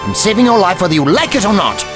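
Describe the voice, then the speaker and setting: Audio drama hero, man playing urgent, indignant time traveller: high-pitched, hugely varied intonation, music underneath. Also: very animated, fast talker. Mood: commanding, urgent. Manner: earnest, urgent, exclamatory.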